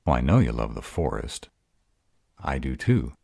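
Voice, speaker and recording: A gruff were-dragon in love, acted by a man, speaks in an extremely low, dark voice. He is earnest and easily flustered, hesitating over tender words in an intimate romantic roleplay, with lively swings of pitch. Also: normal speed, no room sound, clean studio sound, full character voice. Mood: earnest, caring. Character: gruff, bashful male were-dragon lover in human form. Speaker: voice actor in roleplay audio.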